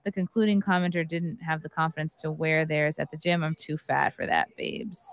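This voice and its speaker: A woman's voice: low-pitched, steady tone, normal speed. A woman hosting a casual panel chat from a home streaming setup, reading articles aloud and breaking in with her own comments. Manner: reads articles aloud with running asides. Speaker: podcast host.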